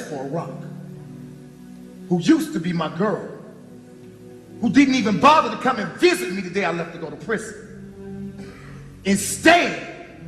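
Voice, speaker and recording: A proud, scornful businessman in a gospel stage play, his voice very high for a man and swinging widely. He leans theatrically on his words with bitter emphasis. It rings through a big echoey hall with music underneath. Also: sharp tone, full character voice. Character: bitter, proud self-made businessman confronting his ex-girlfriend.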